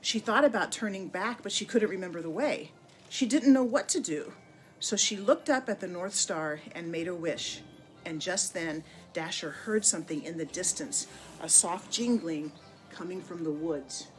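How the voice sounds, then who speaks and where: A woman narrating a children's picture book in an expressive, deliberate storytelling manner with dramatic pauses. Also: on the low side, soft background music, poor audio. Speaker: storybook reader.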